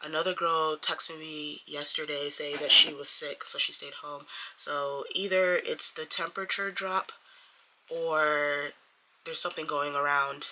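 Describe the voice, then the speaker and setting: A woman's voice: sits low, steady tone, unhurried, pushed and bright, slight background noise, low-quality recording. A young woman from the Washington DC area, chatting and rambling to her camera from inside her parked car. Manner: chatty, rambling, self-mocking. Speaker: vlogger. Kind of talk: casual talking-to-camera vlog. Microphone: camera mic on a vlog camera.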